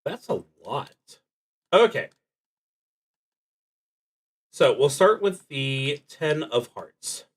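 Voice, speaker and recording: Slow, deliberate man thinking aloud. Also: fairly high pitch, big pitch swings, husky. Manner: halting, deliberate, trailing off. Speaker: solo tabletop roleplaying streamer. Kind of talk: thinking aloud while playing a solo card and dice game.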